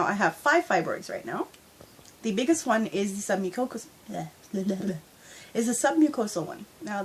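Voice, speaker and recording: A young woman talks into a phone she holds at arm's length while lying in bed at home. Her voice sits a little high and has a husky edge, with a stuffy nose from a cold. She chats candidly and fills her talk with easy fillers. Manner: chatty and candid, with frequent fillers. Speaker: vlogger. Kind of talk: casual storytime vlog. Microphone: handheld phone at arm's length.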